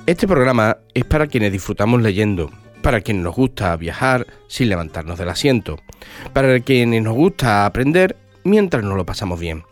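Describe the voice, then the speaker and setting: Congested radio host with a cold. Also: male voice, lowish voice, sing-song, normal speed, faint music behind. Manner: hesitant, with many fillers and restarts. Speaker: radio book show host. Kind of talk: casual radio interview.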